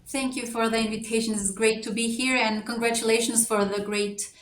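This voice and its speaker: An earnest woman makes her case on a panel, moving through her points in a clear order. Her voice is fairly high. It is recorded from some distance in a reverberant room. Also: flat delivery, brisk. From Ecuador. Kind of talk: panel discussion remarks. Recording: roomy echo, mic at a distance.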